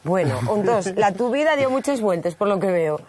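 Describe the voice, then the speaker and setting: A woman's voice: medium pitch, no echo. A woman, a reporter chatting curiously and jokingly with villagers outdoors. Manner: lively, curious, quick to joke. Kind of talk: casual interview.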